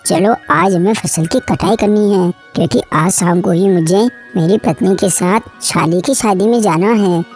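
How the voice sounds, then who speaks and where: A woman's voice: medium pitch, measured pace, hardly any pauses, a little mellow, soft background music, natural voice. A woman voicing a trusting, hardworking male farmer, earnest and obliging, in a cartoon moral story. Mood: earnest, practical.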